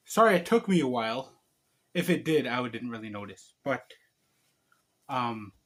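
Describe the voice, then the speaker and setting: A man hosting a homemade video, chatting casually and reading a storybook aloud in an informal, slightly stumbling way. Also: medium pitch. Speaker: online video host and storybook reader. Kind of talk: casual vlog talk and amateur read-aloud.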